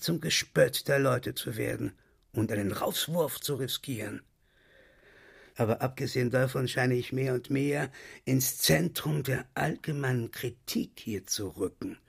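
An actor playing a vain, sulky trickster tomcat in a radio play, with a husky, creaky voice and pompous, wordy delivery. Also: male voice, a little high, heavily acted. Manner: pompous, wordy, mock-dignified.

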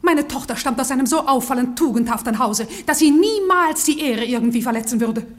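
A woman's voice: high voice, brisk, close to an everyday voice. An actress playing a haughty, indignant noblewoman and proud mother in a theatrical radio comedy. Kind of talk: German radio play of classic stage comedy.

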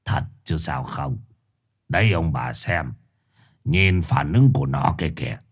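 A man voicing a scheming old male village sorcerer in a low, gruff, sly voice. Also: measured pace, heavily acted. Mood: insistent.

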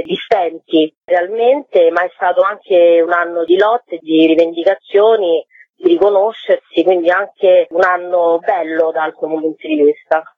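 A woman's voice: on the low side, no echo. A woman speaking earnestly as an activist guest in a radio interview, explaining with emphatic repetitions. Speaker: activist guest, performing-arts worker. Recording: tinny narrowband phone line.

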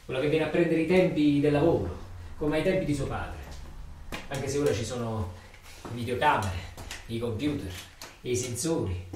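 Echoey, distant Neapolitan man performing a monologue. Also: a little high. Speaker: stage monologue actor. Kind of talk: theatrical monologue, colloquial storytelling. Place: roomy interior, far from microphone. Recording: distant echoey room, faint noise.

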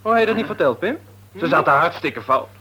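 A cocky young fellow in a radio drama. His voice is a bit high, with a nonchalant, slippery manner. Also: sing-song, fast talker, pushed and bright, husky, heavily acted. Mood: breezy. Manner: casual, nonchalant. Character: breezy, cocky, evasive young man. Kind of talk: Dutch radio play acting.

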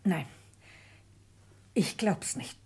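A woman's voice: very slow, darkish tone, whispered. An actress playing a stubborn, scornful village farm woman, curt and grudging, in a German radio drama. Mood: stubborn, distrustful.

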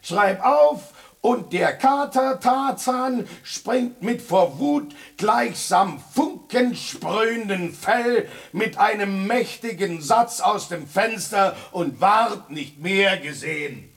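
A man's voice: high-pitched, expressive, slow, creaky, hardly any pauses, fairly bright tone, slightly rough, soft background music, full character voice. A man playing a scornful, proud lone tomcat in a radio drama, speaking in a haughty, sardonic, mock-formal way. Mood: indignant.

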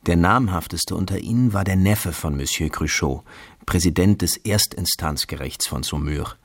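A man's voice: low-pitched, normal speed. A man delivering scripted literary narration in a radio drama.

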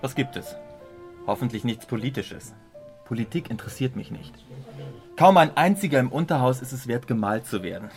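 An actor playing an earnest, concerned gentleman painter in a radio drama, serious and restrained in his delivery. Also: medium pitch, expressive, normal speed, soft background music, natural voice.

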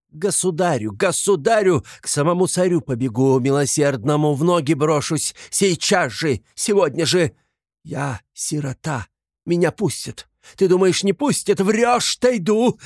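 Man voicing a shrill, hysterical widow, pitched high. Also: animated, measured pace, a little mellow, no echo, clean studio sound. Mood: frantic indignation. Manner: frantic repetitions, accusing, pleading. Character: hysterical, fiercely protective impoverished widow, sickly, indignant. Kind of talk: dramatized literary audiobook.

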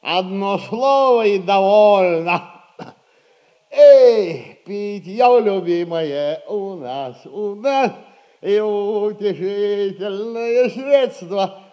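A fat, foppish merchant son in a stage comedy. He speaks high and very slowly. His florid complaints are pompous, tipsy and full of self-pity. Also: expressive, dark tone, lo-fi sound, heavily acted. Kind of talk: theatrical audio play acting.

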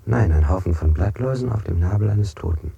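An actor playing a weary, evasive prisoner under interrogation in an avant-garde radio play, speaking in a halting, questioning way. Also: male voice, lowish voice, creaky, warm tone, breathy edge, highs cut off, full character voice. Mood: rambling, searching.

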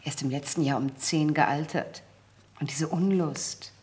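Fretful genteel older sister for radio drama, deep female voice, prim, bit of noise behind. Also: a little slow, darkish tone. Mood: concerned, disapproving.

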